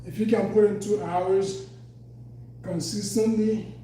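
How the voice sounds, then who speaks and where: A slightly hoarse man with a mellow, fairly high voice talks casually between exercise sets, rambling loosely. He sounds set back from the mic in a very echoey room, with a faint hum underneath. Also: fairly even intonation, takes it slowly, distant mic. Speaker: fitness vlogger. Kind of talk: casual workout chat. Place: home workout room.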